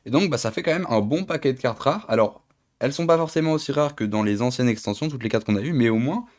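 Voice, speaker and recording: A man's voice: mid-range voice, fast talker, darkish tone. Young man with a stuffy cold. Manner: chatty, rambling, names each card as he goes. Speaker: channel host. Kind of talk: casual card-opening vlog. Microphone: phone camera.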